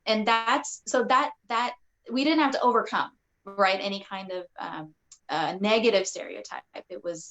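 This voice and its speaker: A woman's voice: mid-range voice, fairly bright tone, rough recording. Woman, 40s, from Kentucky, state representative, earnest panel talk, restarts often, video call. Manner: earnest, frequent restarts and fillers. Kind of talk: panel discussion.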